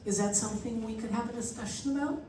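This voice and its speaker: A woman's voice: fairly high pitch, fast, noisy background. Woman chairing a meeting in an echoey hall. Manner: chatty storyteller. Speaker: meeting organizer. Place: church hall meeting room. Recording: echoey hall, speaker far from mic.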